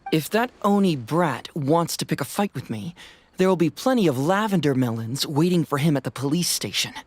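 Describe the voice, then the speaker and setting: A man's voice: on the high side, lively intonation, moderate pace, dry recording. A man voicing a breezy, self-assured young detective in a video game, with a playful, knowing and teasing manner. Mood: sly, teasing. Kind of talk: video game cutscene dialogue. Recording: music under the voice.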